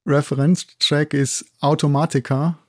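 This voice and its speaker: Man, middle-aged, from Germany, raised pitch, casual interview guest, dry recording. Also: little pitch movement, a little slow, no room sound. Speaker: guest, professor.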